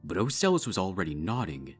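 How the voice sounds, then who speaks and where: A man reading dark fiction as a scripted narrator, measured and with dramatic pauses. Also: low-pitched, huge pitch swings, slightly rough. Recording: music behind the voice.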